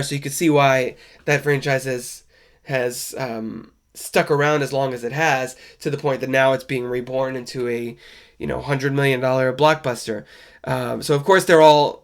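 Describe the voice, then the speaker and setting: A man with a stuffy, congested voice from a cold, hosting a podcast and chatting casually and wryly as he reviews a show. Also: mid-range voice. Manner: wry, conversational, loose and rambling. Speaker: podcast host. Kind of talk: casual solo film review chat.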